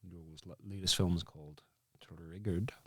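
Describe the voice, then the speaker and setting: A man's voice: low voice, fairly even intonation, moderate pace, fairly bright tone, no echo, studio quality. A man hosting a casual interview podcast. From Ireland. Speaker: podcast host, filmmaker.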